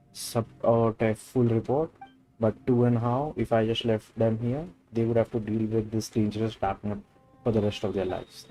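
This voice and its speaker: A man with an Indian accent and Hindi as his native language streams a video game. His voice is dark and relaxed, a little low in pitch. He chats casually and reads dialogue aloud, with music playing behind him. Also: poor audio.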